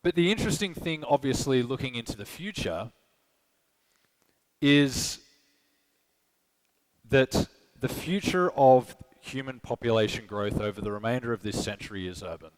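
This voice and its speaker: A man's voice: medium pitch, expressive, moderate pace, long pauses, poor audio. A man with an Australian accent giving a conversational conference talk, asking the audience questions and often checking in with "okay?" and "right?". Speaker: conference speaker. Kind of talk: live keynote presentation. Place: conference stage.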